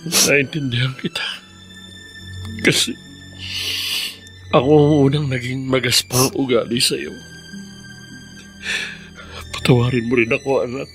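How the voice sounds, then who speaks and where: A man playing a remorseful father in a Filipino radio drama, speaking in a halting, emotional way. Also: medium pitch, level tone, a bit bright, faint music behind. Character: remorseful, troubled ailing father.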